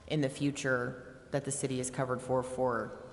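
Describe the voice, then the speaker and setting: A woman's voice: a bass voice, flat delivery, normal speed, a little hiss or hum. A woman making points in a council meeting discussion. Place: council chamber. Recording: echoey, reverberant council chamber.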